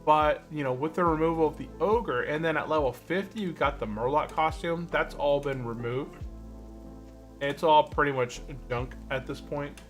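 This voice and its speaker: A man's voice: fairly high pitch, moderate pace, soft background music. A man chatting casually on a gaming livestream, rambling and thinking aloud as he plays. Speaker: livestream host, gamer.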